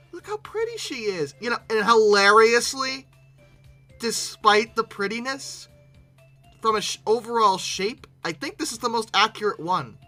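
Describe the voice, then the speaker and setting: A YouTube host giving casual, animated reaction commentary with exasperated asides. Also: mid-range voice.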